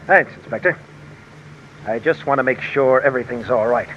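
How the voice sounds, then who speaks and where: A man's voice: medium pitch, normal speed, over loud music, natural voice. An actor playing a desperate male fugitive in an old radio drama, talking with urgency. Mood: guarded. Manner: urgent, pressed. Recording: lo-fi old radio recording with hiss.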